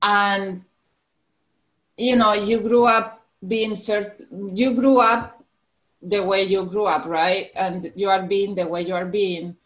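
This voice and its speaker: A woman's voice: medium pitch, steady tone, takes it slowly, fairly bright tone, poor audio. A woman in her forties from the Canary Islands with a Spanish accent, a guest in a casual interview who laughs often and restarts her sentences. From Spain, Canary Islands. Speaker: podcast guest.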